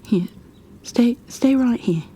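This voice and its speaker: Woman acting kind fantasy bookkeeper, young woman, slightly high voice, breathy near-whisper, slow hesitant delivery, apologetic, ASMR roleplay. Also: slight background noise. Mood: worried, caring. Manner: hesitant, apologetic, gently stammering. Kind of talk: ASMR roleplay, addressed to the listener.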